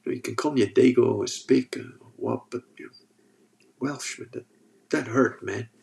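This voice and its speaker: A man's voice: mid-range voice, normal speed, gravelly, darkish tone. A man with a sore throat hosting a casual livestream, reading chat and joking as he rambles. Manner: rambling, joking, restarts often.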